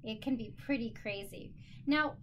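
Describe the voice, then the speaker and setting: A woman with a fairly high voice speaks as a teacher to young children. She explains things simply and encouragingly, in the style of a lesson and read-aloud. There is faint noise behind her. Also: normal speed. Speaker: special education teacher.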